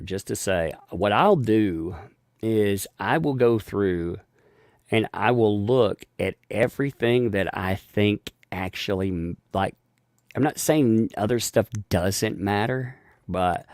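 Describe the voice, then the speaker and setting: Guy streaming live, gravelly voice, kinda low, keeps restarting sentences and chuckling. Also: a little mellow, no room sound, clean studio sound. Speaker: live-stream host reading viewer donations. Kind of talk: casual live-stream chat.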